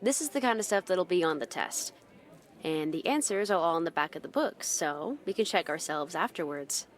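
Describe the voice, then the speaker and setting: A woman's voice: lowish voice, expressive, moderate pace, natural voice. A woman voicing a friendly, mischievous schoolgirl in a visual novel, with a casual, coaxing way of talking. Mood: helpful. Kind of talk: visual novel dub acting.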